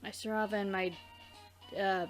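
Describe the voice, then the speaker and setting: Female co-host, average pitch, informal and giggly, rambling conversational delivery. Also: little pitch movement, normal speed, soft background music. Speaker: let's play co-host. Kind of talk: casual chat.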